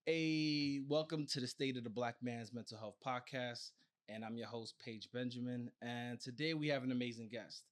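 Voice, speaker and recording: An American man hosts a conversational podcast interview. His voice sits at a middle pitch for a man. He speaks informally, as an interviewer talking with a guest. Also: moderate pace. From Brooklyn, New York, United States.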